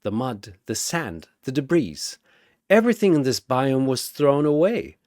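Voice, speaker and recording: Male narrator for fantasy battle story: theatrical, measured and slow, in a dry recording. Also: mid-range voice, sing-song, a little mellow, no echo. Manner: theatrical, deliberate. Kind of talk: dramatic scripted battle narration.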